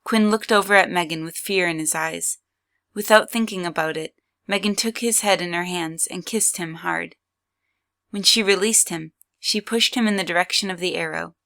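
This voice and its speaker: A woman's voice: lowish voice, normal speed, vocal fry, fairly bright tone. A woman reading scripted third-person story narration as the narrator of an audio drama.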